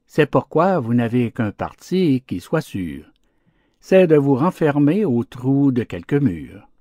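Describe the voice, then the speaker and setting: A man's voice: medium pitch, big pitch swings, slow, mellow, no echo, clean studio sound, voice pushed up. A man voicing a wise female swallow in a storybook fable, earnest and cautioning. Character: wise female swallow warning heedless little birds.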